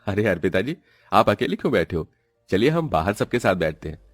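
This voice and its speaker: A man's voice: lowish voice, very animated, a little fast, soft background music. A man voicing an easygoing married elder brother in a cartoon family story. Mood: friendly, casual. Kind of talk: cartoon story character dialogue.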